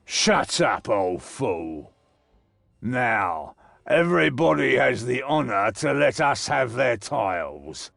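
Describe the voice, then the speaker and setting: An actor playing a menacing, taunting male bandit leader with a gravelly voice in a game. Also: medium pitch, big pitch swings, slow pace, a bit bright, dry recording, soft background music, full character voice. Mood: cold, threatening. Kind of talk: scripted game cutscene acting.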